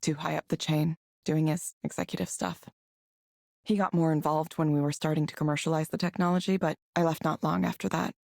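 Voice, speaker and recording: Casting: reserved, self-doubting female game lead, low register, understated, dry close sound. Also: little pitch movement, brisk, vocal fry, darkish tone, dry recording. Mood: downbeat, reserved. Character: reserved, self-doubting woman, former software engineer. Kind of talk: visual novel voice acting.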